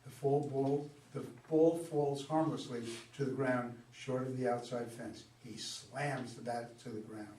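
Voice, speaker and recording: A man's voice: mid-range voice, steady tone, a little slow, hardly any pauses, warm tone. A man reading stage directions as narrator at a staged play reading. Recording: echoey room, far from mic, background noise.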